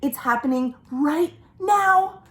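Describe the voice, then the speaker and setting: A woman puts on an excitable storybook crocodile, a young male with a big dramatic streak. The voice sits high and swings widely in pitch. The words are stretched out and exaggerated for small children. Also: reverberant, full character voice. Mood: panicked, whimpering. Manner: exaggerated, dramatic, drawn-out delivery. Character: excitable, melodramatic young male cartoon crocodile who adores watermelon. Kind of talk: children's picture book read-aloud.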